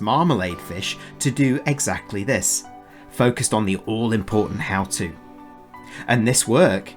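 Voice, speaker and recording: A man hosting a podcast, reading introductions and putting questions in a measured, articulate presenter's manner. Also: lowish voice. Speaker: podcast host. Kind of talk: scripted podcast introduction and interviewing.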